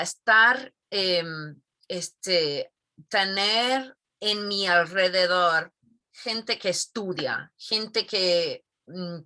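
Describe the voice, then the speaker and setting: A woman's voice: mid-range voice, bright, forceful tone. A middle-aged woman, a Spanish teacher, giving thoughtful interview answers with many fillers and tag questions.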